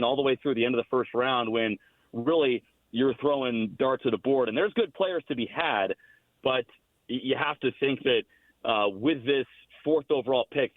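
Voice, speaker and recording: A man's voice: medium pitch, no echo. Muffled-line American male hockey analyst. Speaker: hockey radio play-by-play announcer, guest analyst. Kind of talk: explanatory sports commentary in an interview. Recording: dull, phone-like lo-fi guest line.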